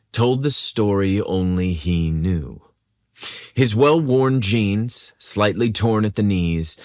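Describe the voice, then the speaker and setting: Man, scripted story narration, animated intonation, close dry sound. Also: deep voice, no room sound.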